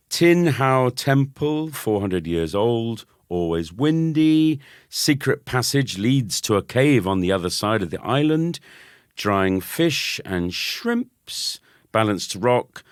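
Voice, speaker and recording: A man narrating a children's story expressively, with dramatic and deliberate phrasing. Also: fairly low pitch, no room sound, clean studio sound. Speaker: audiobook narrator.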